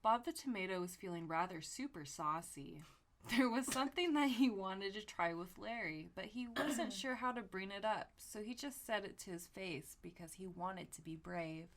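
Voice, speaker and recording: A woman's voice: medium pitch, animated, moderate pace. A woman co-hosting a comedy podcast, chatting casually and reading aloud in a giggly, irreverent, sweary way. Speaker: podcast co-host. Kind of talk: casual comedic chat and fan fiction reading.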